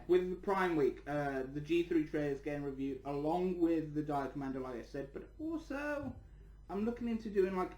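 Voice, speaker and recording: A man's voice: a little high, a little mellow. A man hosting a casual channel update, rambling and repeating his words and phrases as he talks to viewers. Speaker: channel host and toy reviewer. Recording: echoey room.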